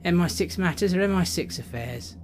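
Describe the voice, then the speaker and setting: A man playing a blunt, higher-voiced spy chief. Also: lively intonation, a little fast, soft background music. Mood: angry, defensive. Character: blunt, irritated male intelligence chief. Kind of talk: radio drama acting.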